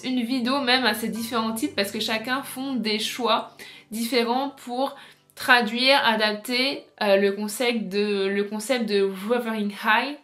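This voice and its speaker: A woman with a slightly high voice talks as a book vlogger. She explains in an informal, chatty way, as in a casual video essay. She addresses her viewers directly. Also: fairly bright tone.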